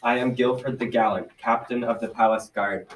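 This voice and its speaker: A man's voice: medium pitch, fairly even intonation, normal speed, lightly acted. A male student playing a gallant, formal captain of a palace guard in a school radio drama. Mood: resolute. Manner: formal, declaiming. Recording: echoey, distant, faint noise.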